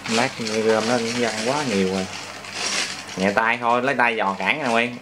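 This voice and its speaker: A man's voice: mid-range voice, moderate pace. A man with a southern Vietnamese accent chatting casually with a child as a father, giving short instructions. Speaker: father filming his child at play. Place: tiled room at home. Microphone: behind a handheld camera. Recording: very noisy room, clattering plastic pieces.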